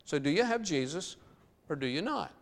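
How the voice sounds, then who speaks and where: A man preaching a sermon in earnest, slowing down and drawing out key words for emphasis. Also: a little high, big pitch swings. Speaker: pastor. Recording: long hall reverb.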